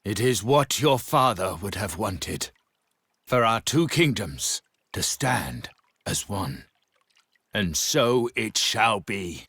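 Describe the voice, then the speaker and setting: An actor playing a proud, solemn mouse king in a fantasy game, speaking formally and with feeling in a husky, theatrical voice. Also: on the low side, lively intonation, takes it slowly, a bit bright, dry recording, heavily acted. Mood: solemn resolve. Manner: formal, archaic, emotional delivery. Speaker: game character.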